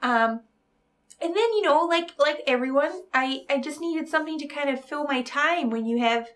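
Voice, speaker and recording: A woman playing a nervous, rambling and earnest romance novelist in an improvised comedy interview, halting and trailing off. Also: high voice, a bit bright. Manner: flustered, halting, chatty, trailing off.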